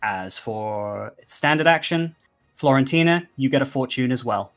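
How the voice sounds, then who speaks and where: A man's voice: mid-range voice, expressive, moderate pace, fairly bright tone. A man narrating combat as game master of a tabletop roleplaying game, speaking casually. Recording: background music under the voice. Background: music.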